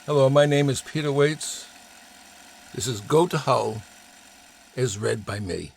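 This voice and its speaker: An older man reading his own personal essay aloud in a measured storytelling manner, pausing for emphasis. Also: medium pitch, vocal fry, a little hiss or hum.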